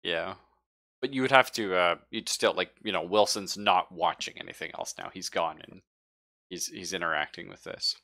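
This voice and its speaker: A man narrating a tabletop game as game master, in informal, halting descriptive phrases. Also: deep voice, vocal fry, sharp tone.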